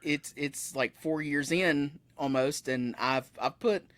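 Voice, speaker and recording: Man, 30s, musician guest; loose, self-correcting storyteller, slightly raised pitch. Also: takes it slowly, fairly bright tone, no echo. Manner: rambling, self-correcting, laughs often. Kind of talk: casual interview chat. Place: room with metal posters behind him.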